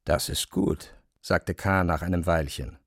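A man's voice: low voice, steady tone, a little slow, creaky, fairly bright tone, no echo, clean studio sound. A man narrating literary prose as the storyteller of an audio drama.

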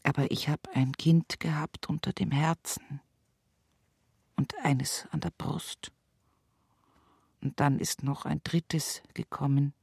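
An actress playing an earthy, stubborn, devout widow from the village in an intimate radio monologue, with a husky, breathy voice and an Upper Palatinate Bavarian accent. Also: female voice, low voice, steady tone, slow, long pauses, a little mellow, no room sound, clean studio sound, exaggerated voice. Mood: grieving. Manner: earthy, folksy storytelling at a bedside. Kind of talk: intimate radio drama monologue in rural vernacular.

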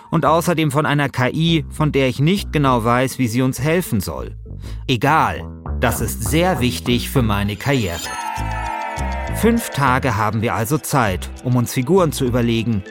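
A man, hosting a podcast in a wry, self-ironic way. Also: medium pitch. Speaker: podcast host and journalist. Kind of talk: scripted podcast narration and casual chat.

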